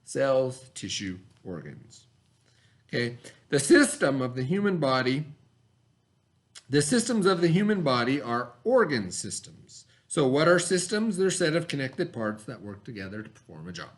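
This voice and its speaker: A man's voice: medium pitch, very animated. A man teaching children by reading a lesson aloud, in an instructional way that mixes reading with asides and questions. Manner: instructional, pausing to pose and answer questions.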